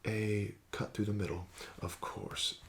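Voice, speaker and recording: A man hosting an ASMR roleplay as a casino dealer, soft-spoken and often whispering, smooth and gently coaxing. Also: fairly low pitch, level tone, measured pace, slight background noise.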